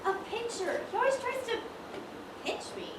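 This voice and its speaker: A woman on stage playing an eager, polite and excitable young shop assistant. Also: very high voice, normal speed. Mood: deferential, chatty. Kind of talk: amateur stage acting, one-act school play. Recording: echoey stage, distant mic, clear noise.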